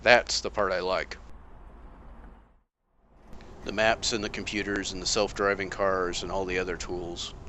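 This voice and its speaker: An actor playing a calm, analytical masked vigilante detective with a dry, measured delivery. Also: male voice, low-pitched, slight background noise. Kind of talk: amateur motion comic voice acting.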